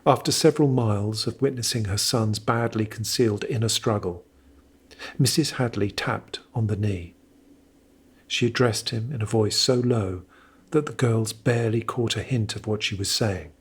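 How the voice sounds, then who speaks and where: A man playing the intense, expressive narrator of a period melodrama in an audio drama, telling it in a literary style. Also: lowish voice, moderate pace, husky. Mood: brooding.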